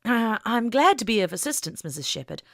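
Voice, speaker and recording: A woman's voice: a little high, sing-song, brisk, fairly bright tone, no echo, clean studio sound, voice pushed up. A woman voicing an earnest, deferential young female field agent in an audiobook, careful and slightly awkward. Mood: modest, nervous.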